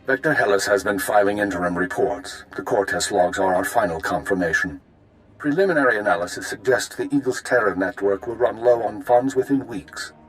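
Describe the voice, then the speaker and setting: An actor playing a composed, authoritative intelligence chief in a video game, with a formal, clipped, commanding manner. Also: male voice, fairly low pitch, brisk, soft background music, close to an everyday voice, low-quality recording. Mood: businesslike. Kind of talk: video game cutscene acting.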